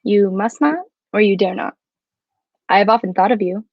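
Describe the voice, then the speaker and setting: A woman's voice: mid-range voice, fairly even intonation, normal speed, no echo, dull audio, natural voice. A woman acting a clever, bold, flirtatious adventuress in a radio drama, with a poised, formal and coy way of speaking. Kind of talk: adaptation of a 1940s radio drama.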